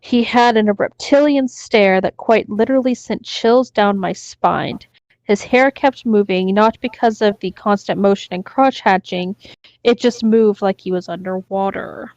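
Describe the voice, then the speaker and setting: A woman's voice: mid-range voice, measured pace, a little mellow, no echo, highs cut off. A woman co-hosting a casual read-along, narrating a story and chatting briefly about it. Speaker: co-host reading stories aloud.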